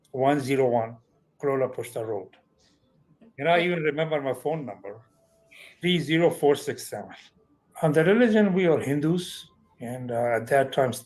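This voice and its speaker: A filmmaker from Afghanistan recalls his early years in a calm, measured way. His voice sits at an ordinary middle pitch, and he often circles back and restarts his phrases. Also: low-quality recording. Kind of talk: personal recollection.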